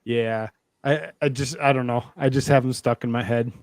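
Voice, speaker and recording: A man's voice: medium pitch, steady tone, normal speed, no room sound. A man hosting a casual online talk show, speaking in a loose conversational way. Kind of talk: casual political chat.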